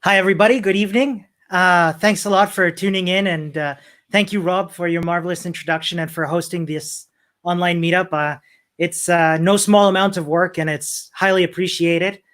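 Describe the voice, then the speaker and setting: A man's voice: high voice, fairly even intonation, moderate pace, fairly bright tone. Friendly male speaker from Chile, upbeat presenter at an online tech meetup, easygoing with fillers. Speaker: conference speaker.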